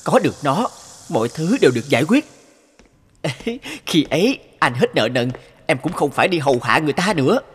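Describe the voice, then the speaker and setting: A man's voice: fairly high pitch, sing-song, fairly quick, breathy edge. A man voicing a greedy, pushy young schemer of a boyfriend in a cartoon. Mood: persuasive. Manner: scheming, insistent. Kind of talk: cartoon voice acting. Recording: faint music behind the voice.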